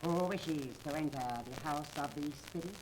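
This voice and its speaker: A ceremonious seance doorman played by a man with a fairly high voice in a 1930s radio play. He is solemn, formal and unctuous, under clear vintage recording noise. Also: moderate pace, natural voice. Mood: solemn, hushed. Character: solemn, ceremonious male attendant of a fake seance house. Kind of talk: 1930s radio drama acting. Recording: noisy 1930s radio transcription.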